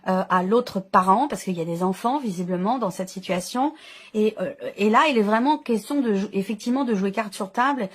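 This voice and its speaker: A woman's voice: medium pitch, brisk. A woman giving an improvised, intuitive tarot reading as host, feeling her way through phrases and restating ideas in lists. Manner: hesitant, searching phrasing with repeated enumerations. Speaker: tarot card reader and channel host.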